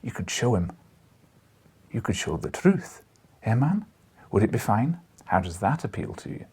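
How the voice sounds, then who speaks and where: An old Scottish newspaper editor played by a male reader, crabbed yet coaxing. His voice is very breathy and husky and swings widely in pitch, with a teasing, deliberate manner suited to a classic adventure audiobook. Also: sing-song, darkish tone, exaggerated voice. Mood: sly, enthused. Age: older.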